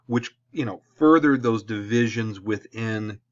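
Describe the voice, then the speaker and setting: A man with a Midwestern American accent, lecturing on history as a teacher in a relaxed classroom-video way, often checking in with "okay?". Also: on the low side, a little slow, muffled recording.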